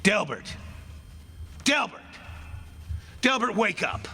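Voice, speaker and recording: An actor playing an anguished, wronged condemned man's soul, narrating a radio play in a dramatic, halting, theatrical way. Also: medium pitch, over loud music, natural voice. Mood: accusing. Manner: dramatic, halting, repeating words in dread. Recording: noisy live stage recording.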